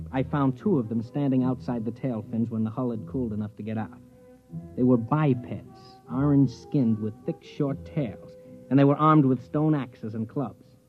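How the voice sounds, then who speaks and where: Wry, mid-pitched space explorer played by a man. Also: lightly acted. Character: grumbling, put-upon male space explorer. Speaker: narrator and lead character. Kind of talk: 1950s radio drama acting and narration. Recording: dull vintage radio recording.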